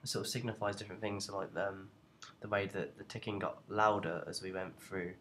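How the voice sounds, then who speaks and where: A young man giving an informal software tutorial in a hesitant, halting way. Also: deep voice, little pitch movement, a little hiss or hum. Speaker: student tutorial presenter.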